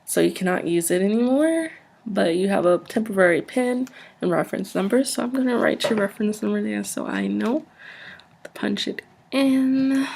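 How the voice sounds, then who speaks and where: A soft-spoken woman in an ASMR roleplay, acting as a polite, businesslike job interviewer who explains things a little hesitantly. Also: mid-range voice, moderate pace, creaky.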